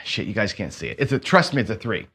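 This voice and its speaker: A man's voice: on the low side, sing-song, quick pace, fairly bright tone, breathy edge. A man hosting a casual craps session, chatting to viewers with frequent restarts as he plays. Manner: chatty, self-talking, stammering restarts. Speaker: casino gaming host. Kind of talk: casual chat while playing craps.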